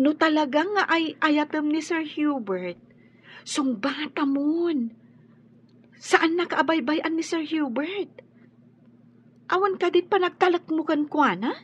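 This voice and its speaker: Young confidante, high voice, slow coaxing delivery, Filipino accent, slightly muffled radio drama audio. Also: female voice, expressive. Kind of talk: Ilocano radio drama acting.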